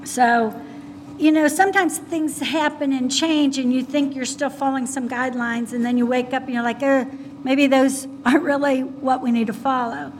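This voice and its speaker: A woman on a school board speaking at a public meeting, soft and mousy in voice, hesitant with many fillers and restarts. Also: high voice, fairly even intonation, moderate pace, a little hiss or hum. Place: meeting room.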